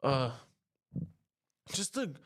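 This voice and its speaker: A young man with a stuffy, nasal voice talks straight to camera in a rambling podcast monologue. He swears constantly and his pitch climbs sharply when he gets worked up. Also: extremely slow. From Canada. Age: young adult. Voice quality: nasal, congested. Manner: profane, rants with rising pitch. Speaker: podcast host. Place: home, on camera.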